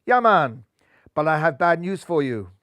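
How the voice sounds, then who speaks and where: A relaxed rabbit cricket star with a put-on Caribbean lilt, loud and drawling. A man puts him on in a fairly high voice. The sound is close and dry. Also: unhurried, dry recording. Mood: cheery. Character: laid-back male rabbit cricket star with island lilt.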